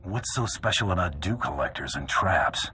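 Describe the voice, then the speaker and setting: An actor playing a tough, no-nonsense bounty hunter in a video game cutscene, speaking tersely. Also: male voice, low-pitched, animated, moderate pace, pushed and bright, soft background music. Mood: cool, businesslike. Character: gruff male bounty hunter, tough and businesslike.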